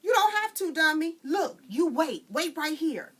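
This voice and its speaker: Brief: commanding, zesty young girl, high and forceful voiced by a woman. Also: sing-song, unhurried, exaggerated voice. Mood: bossy. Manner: bossy, commanding. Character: bossy know-it-all young girl.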